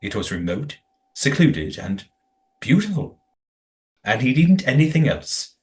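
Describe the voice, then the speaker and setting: Audio play role, male actor: righteous community elder-statesman type, formal, theatrical, with animated medium-pitched delivery. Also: a little slow. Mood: earnest storytelling. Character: righteous, well-spoken man guarding his community's history.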